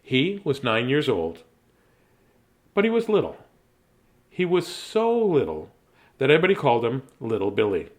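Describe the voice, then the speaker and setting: A man's voice: mid-range voice, huge pitch swings, normal speed, pauses often. A middle-aged man chatting casually and reading children's stories in a silly, rambling, self-deprecating way. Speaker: children's story reader, host. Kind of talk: casual livestream chat and bedtime story reading.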